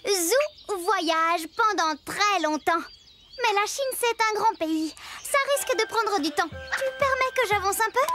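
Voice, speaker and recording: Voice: very high voice, unhurried, bright, forceful tone, faint music behind, heavily acted. A performer voicing a playful little child who tells a children's cartoon story in chatty, exclaiming French. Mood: confiding.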